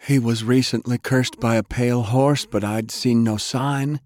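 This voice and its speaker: A man's voice: medium pitch, steady tone, unhurried, darkish tone, pitched above the performer's own voice, natural voice. A man voicing a grave, exhausted male healer in an audiobook fantasy story, speaking urgently with a strained voice. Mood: tense, focused.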